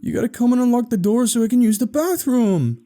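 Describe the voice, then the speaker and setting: A man's voice: very high-pitched, expressive, fairly quick, mellow, pitched above the performer's own voice. A man voicing a frightened, stubborn little boy who pleads and protests indignantly in a horror story. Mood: pleading, urgent. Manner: pleading, indignant, childish exclamations.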